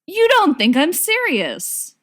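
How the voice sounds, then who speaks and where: Woman reading as an earnest, flustered young man, lively pitch. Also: takes it slowly, heavily acted. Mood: indignant. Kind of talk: audiobook-style dialogue reading of fan fiction.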